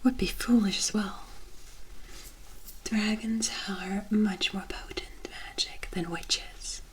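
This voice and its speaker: A breathy, whispered voice belongs to a kindly forest witch played by a woman. She speaks very slowly and deliberately, with a soothing, knowing manner suited to an ASMR roleplay. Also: medium pitch, fairly even intonation, lightly acted, low-quality recording. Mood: reverent, instructive. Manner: slow, hushed, soothing, deliberate.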